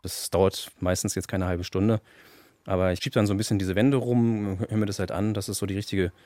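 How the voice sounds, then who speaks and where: Sound engineer, man, lowish voice, brisk colloquial delivery, very dry close sound. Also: steady tone, mellow, dry recording. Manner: colloquial, informal. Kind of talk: casual spoken explanation.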